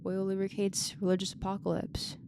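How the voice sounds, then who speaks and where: A woman's voice: medium pitch, monotone, brisk, gravelly, noisy background. A woman narrating a scripted but conversational video essay in a sardonic, irreverent way, with dry asides.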